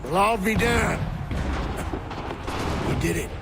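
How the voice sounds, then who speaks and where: Casting: irascible older wartime general, game cutscene. High, creaky, swooping delivery with a sardonic orator's bluster. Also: male voice, expressive, slow, exaggerated voice. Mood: weary, wry. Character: gruff, irascible older male general of the Revolutionary War. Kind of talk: dramatic game cutscene acting. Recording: loud music and battle gunfire behind. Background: loud music, artillery and gunfire.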